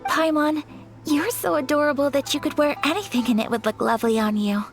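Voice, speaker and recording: A woman voicing a graceful young noblewoman in a game, speaking formally, gently and in a measured way. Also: sits high, steady tone, loud music behind the voice. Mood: gentle. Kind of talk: game voice acting.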